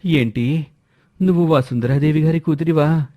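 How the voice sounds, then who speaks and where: A man's voice: a little high, moderate pace, highs cut off, lightly acted. A man voicing a concerned, kindly and inquisitive villager in a moral story. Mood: curious. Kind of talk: Telugu moral story character acting.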